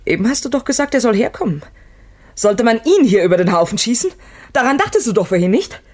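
An actress playing an anxious, earnest daughter in a German crime radio play, hesitant and pleading. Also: female voice, a little high, big pitch swings, fast talker. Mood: accusing. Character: anxious, pleading young daughter of troubled family.

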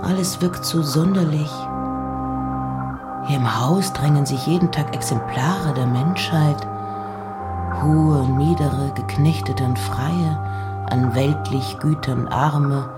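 A woman gives a hushed, measured literary recitation in a husky, breathy voice that often nears a whisper. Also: sits low, loud music behind the voice. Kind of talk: slow literary recitation of poems and letters.

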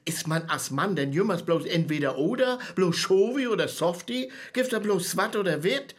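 An actor playing an earnest, easily embarrassed softie in a conversational radio comedy, defensive, with a Northern German accent. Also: male voice, high-pitched, expressive, normal speed.

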